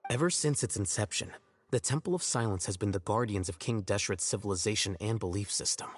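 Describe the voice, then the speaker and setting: Man playing a cool, husky, logical scholar. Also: fairly low pitch, fairly quick, natural voice. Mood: explanatory, calm. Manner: matter-of-fact, precise. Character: cool, logical, self-assured young male scholar. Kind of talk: video game voice acting.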